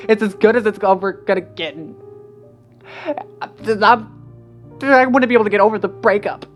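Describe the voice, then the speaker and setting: A man voicing a distraught young woman who confides her troubles in a high, strained, pleading voice. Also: normal speed, a bit bright, faint music behind. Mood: tearful.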